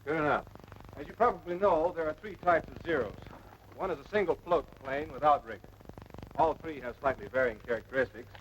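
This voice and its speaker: An actor playing a seasoned, patient air force officer giving measured, authoritative instruction in a 1940s military training film. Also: male voice, a little high, natural voice. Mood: cautionary. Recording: noisy dull vintage film soundtrack.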